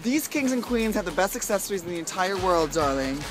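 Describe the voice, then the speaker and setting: A man's voice: high voice, expressive, a little fast, over music, low-quality recording. A man who performs as a drag queen, from the United States, chatting playfully on a travel vlog while filming himself with a handheld camera. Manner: playful, campy, says darling. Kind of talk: casual travel vlog chat. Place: out and about in city streets and shops. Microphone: handheld camera at arm's length.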